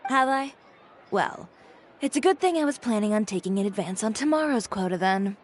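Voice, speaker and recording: An actress playing a composed, proud dressmaker who talks calmly with dry, cutting sarcasm. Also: female voice, medium pitch, normal speed, slight background noise, lightly acted.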